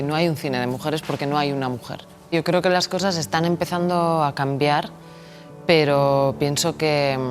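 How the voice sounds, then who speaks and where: A woman film director from the Basque Country with a Basque Spanish accent, answering interview questions in a thoughtful, hesitant way and often repeating words as she searches for them. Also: low-pitched. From Basque Country, Spain.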